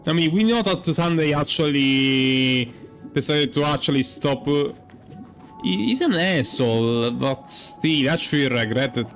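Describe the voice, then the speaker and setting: A man's voice: fairly high pitch, lively intonation, unhurried, fairly bright tone, soft background music. A man giving casual playthrough commentary, rambling and hesitant, swearing as he thinks aloud. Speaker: gaming streamer.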